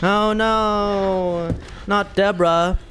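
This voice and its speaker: A woman streamer giving casual, playful and excitable commentary as she plays a video game, sometimes swearing. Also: medium pitch, very slow, heavy background noise. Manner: playful, excitable, profane, given to silly repetition. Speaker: streamer reacting while playing a video game.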